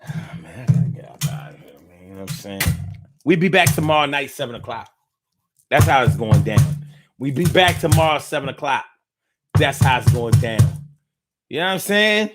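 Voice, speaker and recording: A man's voice: medium pitch, lively intonation, normal speed, long pauses, over loud music. A man hosting a podcast, riffing loosely in a playful, rambling way with heavy slang and repetition. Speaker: podcast host. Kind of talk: casual late-night podcast sign-off.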